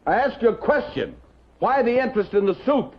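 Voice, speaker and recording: An actor with an American accent playing a wry, unafraid city newspaper reporter in a 1950s television drama. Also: male voice, extremely high pitch, very animated, a little fast, full character voice. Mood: suspicious, sharp. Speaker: actor in lead role. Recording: dull 1950s TV soundtrack, music behind.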